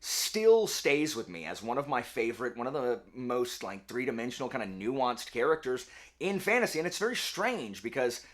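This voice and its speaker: Male booktuber host, slightly hoarse, talks in loose rambling runs with an animated, swinging pitch. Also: normal speed. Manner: chatty, rambling, self-correcting. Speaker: book channel host. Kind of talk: casual book vlog.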